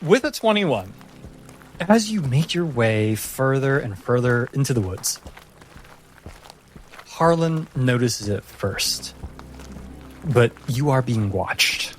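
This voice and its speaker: A man narrates a tabletop fantasy game as its game master. He takes his time, phrasing with suspense and drama at a medium pitch, with soft music behind him.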